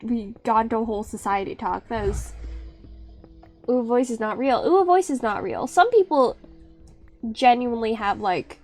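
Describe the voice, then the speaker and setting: A young woman with a high-pitched voice talks casually on a live stream. She rambles, restarts her sentences and laughs often. Faint music plays behind her. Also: animated, moderate pace, darkish tone.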